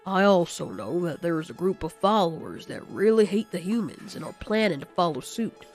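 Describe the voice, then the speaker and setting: Man playing a calm, confiding monster chef. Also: sits high, a little slow, faint music behind. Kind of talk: amateur audio story acting.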